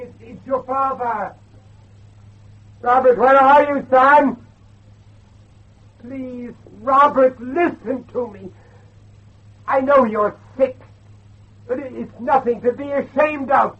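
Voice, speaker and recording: An actor playing an anxious, devout middle-aged father searching for his son, pleading and halting in a horror audio drama. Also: very high voice, fairly even intonation, full character voice. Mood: desperate pleading. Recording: dull, muffled lo-fi drama recording.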